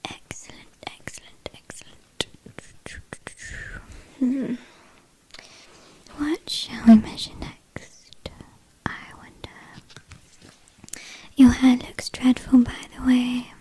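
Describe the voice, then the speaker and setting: A woman's voice: high-pitched, steady tone, full character voice. Woman playing a whispering British vampire, haughty and possessive. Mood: teasing, mocking. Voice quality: soft breathy whisper. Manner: slow, condescending, quietly menacing. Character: possessive, haughty, teasing ancient female vampire. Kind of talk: whispered ASMR roleplay.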